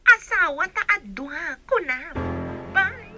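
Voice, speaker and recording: A woman playing a high-voiced female character in an Ilocano village radio drama. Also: lively intonation, slow, over music, exaggerated voice. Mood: brazen.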